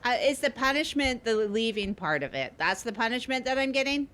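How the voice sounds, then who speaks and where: A woman's voice: fairly high pitch, expressive, brisk, fairly bright tone, a little hiss or hum. A woman playing an agreeable, hesitant small-town podcast host who rambles and repeats reassurances in improvised comedy chat. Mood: unsure.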